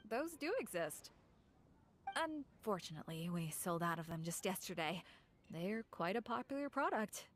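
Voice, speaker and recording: A woman playing a wry, polite shopkeeper in an English anime game dub, speaking in a measured way. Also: lowish voice, sing-song, a little hiss or hum.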